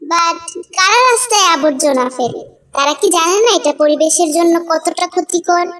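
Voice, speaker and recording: A tiny cartoon schoolgirl, played by a woman, extremely high in pitch. She sounds earnest and a bit preachy. The recording is slightly distant. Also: normal speed, a bit bright. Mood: earnest concern. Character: tiny earnest schoolgirl, indignant about litter. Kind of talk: cartoon dialogue.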